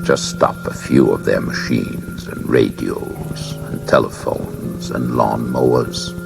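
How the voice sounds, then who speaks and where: An extremely low, gravelly alien invader, performed by a male reader, cold and patient. He lays things out with measured superiority over loud music, as in a dramatic audiobook. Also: heavily acted. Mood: cold, calculating.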